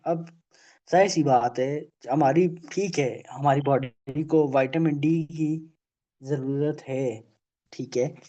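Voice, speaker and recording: A man giving an online health awareness lecture, halting and full of filler phrases, checking in with "okay?" after almost every point. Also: fairly high pitch, warm tone. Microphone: video call. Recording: dull lo-fi video call audio.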